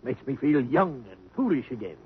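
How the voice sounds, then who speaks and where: An actor playing a wisecracking, meddling boarder in a 1950s radio comedy, with a dry, quipping delivery. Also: male voice, medium pitch, very animated, moderate pace, husky. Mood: dryly amused. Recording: muffled, noisy old radio broadcast.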